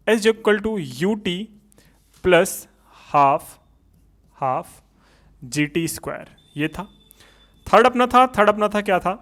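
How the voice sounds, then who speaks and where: A man teaches an exam coaching class in a high-pitched voice. He turns each point into a question and repeats it, often checking that students follow. English technical terms are mixed into his explanations. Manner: switches between Hindi and English technical terms. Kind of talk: exam coaching lecture at a board.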